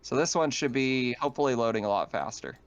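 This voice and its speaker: A man's voice: mid-range voice, sing-song, fast talker. A man casually narrating as game master of an online tabletop game, feeling his way through sentences. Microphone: online voice call. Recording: dull lo-fi voice chat.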